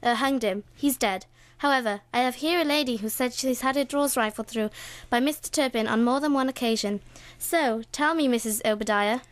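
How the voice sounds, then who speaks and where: A woman's voice: on the high side, brisk, dry recording, natural voice. A young woman playing Roger, a male roving reporter in a comic radio play. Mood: earnest reporting. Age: young adult. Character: chirpy male roving reporter sent back in time.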